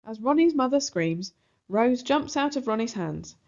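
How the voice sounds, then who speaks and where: A woman narrating a children's book aloud in an expressive storybook style. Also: a little high, measured pace. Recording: slightly dull, muffled recording.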